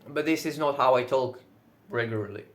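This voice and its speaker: A man whose first language is Russian, speaking English with a Russian accent in casual solo talk, now and then pausing to search for words and swearing lightly. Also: mid-range voice. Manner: says he is loud most of the time. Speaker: video creator.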